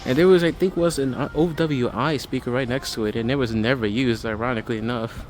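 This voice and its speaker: A man's voice: mid-range voice, brisk, slight background noise. A man giving casual, matter-of-fact walk-through commentary as a hobbyist vlogger inside a large store. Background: background music in a store.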